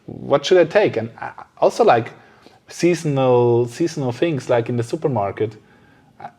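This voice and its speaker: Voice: mid-range voice, animated, normal speed, warm tone, slightly rough. Podcast host chatting casually, hesitant phrasing. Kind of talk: casual conversation.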